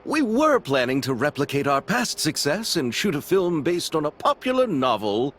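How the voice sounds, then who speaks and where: Earnest young game director, high voice, wildly animated pitch, theatrical, flowery, effusive. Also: male voice, moderate pace, a little hiss or hum. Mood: awestruck. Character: earnest, dramatic, romantic young male film director. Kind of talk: video game character dialogue.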